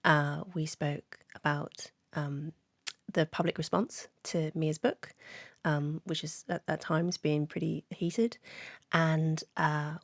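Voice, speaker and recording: Podcast host, female. Low register, very dry sound, introductions and questions. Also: flat delivery, slow pace, no room sound.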